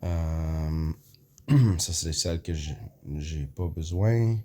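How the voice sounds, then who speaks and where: A man whose first language is English and who has an English Canadian accent. He walks viewers through an app in a casual tutorial, hesitant, full of fillers, clearing his throat often. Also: extremely low pitch, drawn-out words, warm tone. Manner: hesitant, many fillers, mixes in English words. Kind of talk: casual screen walkthrough.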